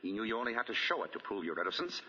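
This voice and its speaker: Dull old radio recording, shrewd detective, swooping intonation, husky voice, accusing, deliberate. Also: male voice, lowish voice, very animated, bright, forceful tone, big-room sound, heavily acted. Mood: grave, accusing. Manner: probing, deliberate, accusing questioning. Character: shrewd, composed male amateur detective laying out a case. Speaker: radio drama actor. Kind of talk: 1940s radio drama acting. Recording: dull, lo-fi 1940 radio broadcast.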